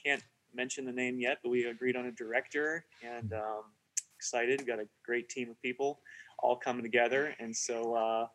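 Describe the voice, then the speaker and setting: A man talking as a guest about his music production, relaxed and hesitant, with many fillers. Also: medium pitch, moderate pace, low-quality recording. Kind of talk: casual chat.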